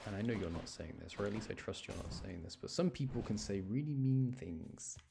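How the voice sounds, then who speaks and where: A man's voice: fairly low pitch, animated, brisk, gravelly, noisy background. A man live streaming a video game, chatting casually with viewers as he commentates on his play. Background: music.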